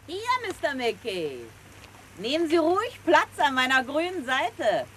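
An actress playing a cocky, slangy widow of a crook who blackmails a murderer, in a vintage radio play. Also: female voice, high-pitched, sing-song, measured pace, a bit bright, slight background noise, exaggerated voice. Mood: mocking.

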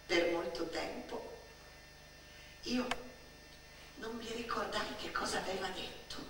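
A middle-aged woman delivering a theatrical storytelling monologue, expressive and emphatic, with dramatic pauses. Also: mid-range voice. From Italy. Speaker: stage actress and storyteller. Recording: very echoey, distant mic, faint noise.

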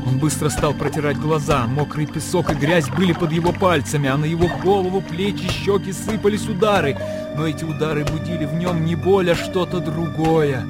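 A man giving a theatrical, expressive narration of a literary radio play. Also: on the high side, a little fast. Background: music.

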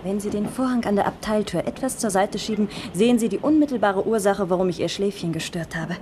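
An actress playing a charming, anxious young woman with a soft, velvety voice in a 1960s radio crime drama. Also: mid-range voice, fast, natural voice. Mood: uneasy. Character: charming, anxious young woman seeking help, cool and coy. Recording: noisy old 1960s radio recording.

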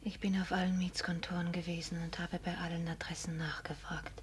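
Casting: woman playing meek, anxious young peasant girl, breathy half-whisper, old radio drama with clear background noise. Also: lowish voice, little pitch movement, fairly quick, lightly acted. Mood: meek, distressed. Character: timid, naive young country girl in debt. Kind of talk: 1950s German radio play acting. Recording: noisy old radio recording.

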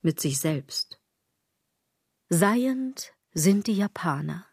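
A woman's voice: on the low side, lively intonation, extremely slow, a little mellow, husky, dry recording, studio quality, heavily acted. An actress playing a sardonic, rambling woman in a literary radio drama monologue, ironic and insistent, often correcting herself. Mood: insistent, resigned. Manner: ironic, self-correcting, insistent rhetorical delivery. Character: sardonic, rambling woman brooding on fashion and clothes.

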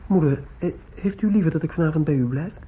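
Actor as an earnest young student son. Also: male voice, fairly high pitch, quick pace, muffled recording, slight background noise, close to an everyday voice. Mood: insistent, concerned. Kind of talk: radio drama acting.

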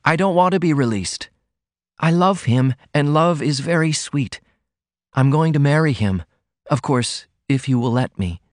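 A man's voice: a little high, lively intonation, unhurried, no echo, studio quality, voice pushed up. A man reading aloud, voicing a young woman who speaks in pleading, earnest tones.

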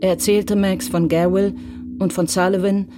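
Measured radio drama narrator over music. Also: medium pitch. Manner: measured, even storytelling. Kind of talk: scripted narration.

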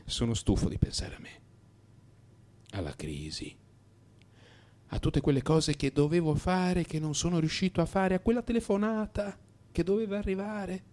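A man's voice: high voice, expressive, darkish tone. Brooding, troubled man, confessing. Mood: despondent, self-blaming. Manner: brooding, halting, introspective, repeating phrases. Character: troubled man in crisis, melancholy, self-blaming, confessing. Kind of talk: radio drama monologue.

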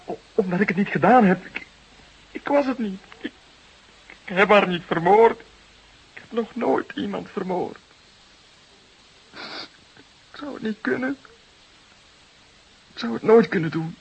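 An actor playing a desperate, defensive young murder suspect of about twenty, nervy and halting under interrogation in a crime drama. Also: male voice, high voice, full character voice, low-quality recording. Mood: pleading, distraught. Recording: clearly hissy background noise.

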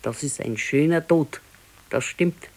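Radio play: old Bavarian wife, plain-spoken and resigned, patiently consoling, naturalistic delivery. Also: female voice, deep voice, slow pace, darkish tone, heavily acted. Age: older. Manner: simple, matter-of-fact, resigned. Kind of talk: naturalistic 1970s radio drama acting.

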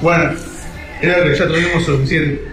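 Teenage boy as exasperated judge, Argentine accent, amateur radio comedy, echoey room, clear background music. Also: a little high, fairly even intonation, moderate pace, natural voice. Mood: exasperated, official. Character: exasperated judge mocking clownish bank robbers.

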